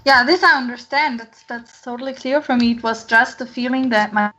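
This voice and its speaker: A woman's voice: on the high side, fairly even intonation, fairly quick, pushed and bright, muffled recording. A woman in her thirties, a singing student on a video call, asking questions in a chatty way with many likes. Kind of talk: casual questions in a lesson.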